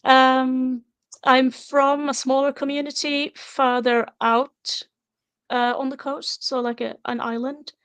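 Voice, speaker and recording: A woman's voice: high-pitched, little pitch movement, slow, fairly bright tone, clear, resonant tone. A woman in her forties from Norway, a podcast guest talking plainly and hesitantly with fillers. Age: 40s. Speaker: guest, teacher and scenario writer. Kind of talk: casual podcast chat.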